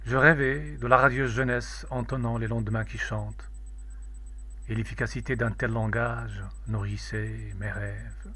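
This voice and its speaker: A man reciting a poem in a measured, declamatory, solemn way. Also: mid-range voice, steady tone, bright, forceful tone. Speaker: poetry reader.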